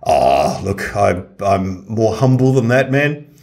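Aussie bloke on a podcast, super animated, chatty and casual. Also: male voice, on the low side, slow. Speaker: podcast host. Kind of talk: casual chat.

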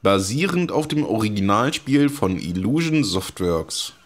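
Locker plaudernder Let's-Player. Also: male voice, lowish voice, sing-song, measured pace. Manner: chatty, wry asides while reading game menus. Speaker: Let's Play host. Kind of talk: casual gameplay commentary. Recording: talking over game music.

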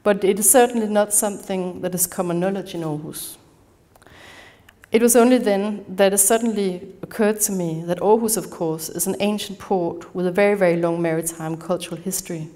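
A woman from Denmark with a Danish accent, giving an academic conference talk in a measured manner, partly reading from a prepared text. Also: mid-range voice, dark tone. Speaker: conference speaker. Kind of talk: academic lecture. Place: large darkened lecture hall.

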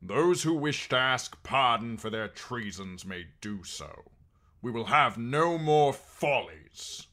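A man voicing a cold, authoritative older lord with a clear, strong voice in an audiobook story. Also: mid-range voice, lively intonation, takes it slowly, sharp tone, voice pushed up. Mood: stern.

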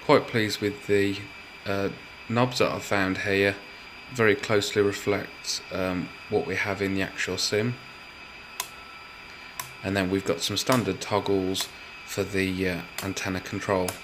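Male hobbyist presenter, lower voice, methodical explanatory delivery, slight noise behind. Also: level tone, unhurried. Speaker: hobbyist builder presenting his project. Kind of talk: explanatory build walkthrough.

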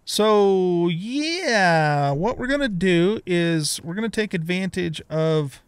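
A man's voice: high voice, lively intonation, slow, clear, resonant tone, no room sound. A middle-aged man giving casual gameplay commentary, rambling and thinking aloud as he hosts a let's play.

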